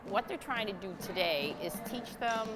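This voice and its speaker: A woman's voice: mid-range voice, lively intonation, moderate pace, very noisy. A woman born in the Netherlands, Dutch as her first language, explaining her exercise and drumming class in an interview. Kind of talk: on-camera interview.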